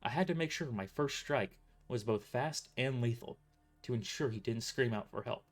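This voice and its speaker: A man's voice: medium pitch, normal speed, faint music behind. A man reading a first-person story as a dramatic narrator, occasionally stumbling over his words. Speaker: author narrating own novel.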